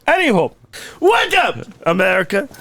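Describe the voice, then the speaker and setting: A man's voice: high voice, huge pitch swings, normal speed, vocal fry, fairly bright tone. A man in his thirties co-hosting a comedy podcast in a hammy put-on mock Russian accent, dropping little words as he jokes. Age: 30s. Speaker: podcast co-host.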